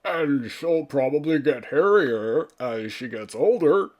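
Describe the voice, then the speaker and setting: A man's voice: on the high side, expressive, a little mellow, pitched above the performer's own voice. A man voicing an earnest, helpful beast father in a children's storybook, musing aloud. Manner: slow, drawn-out, musing.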